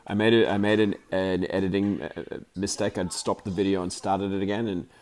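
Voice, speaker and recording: A man just over the flu, his voice croaky, giving chatty, rambling commentary over footage of a hockey game he filmed, with plenty of fillers and restarts. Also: sits low, little pitch movement, a little fast, darkish tone. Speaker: amateur hockey commentator and video maker.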